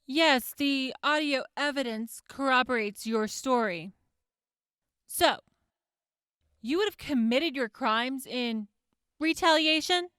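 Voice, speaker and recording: A woman playing a high, probing prosecutor. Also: slow, pauses often, fairly bright tone, clean tone, dry recording, studio quality. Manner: probing, skeptical questioning. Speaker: prosecutor questioning the defendant. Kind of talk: audio drama acting.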